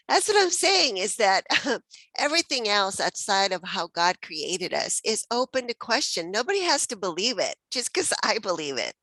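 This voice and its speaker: A woman's voice: medium pitch, sharp tone, dry recording. A woman from Mexico hosting a spiritual text study group, thinking aloud with many fillers and easy laughs. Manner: reflective, many fillers and restarts, laughs often.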